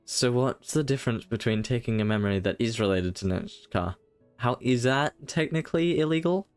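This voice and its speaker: A man's voice: medium pitch, expressive, moderate pace, smooth, soft background music. A man giving casual livestream commentary on a game, in rambling, wry asides. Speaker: game streamer.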